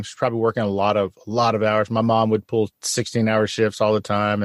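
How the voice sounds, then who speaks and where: A man's voice: medium pitch, no echo, studio quality. A man from Texas hosting a casual podcast interview, jokey and self-deprecating. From San Antonio, Texas. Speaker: podcast host.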